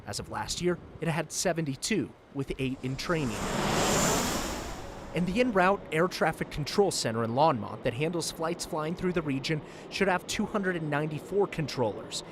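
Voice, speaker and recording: A man's voice: on the high side, lively intonation, normal speed, noisy background. An American man delivering a broadcast news report as a TV reporter.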